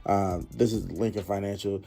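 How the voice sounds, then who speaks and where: Man, low mellow voice, slangy laid-back vlog narration, faint music behind. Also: fairly even intonation, normal speed, gravelly. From Philadelphia, United States. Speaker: concertgoer narrating his vlog. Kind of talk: casual voice-over recap.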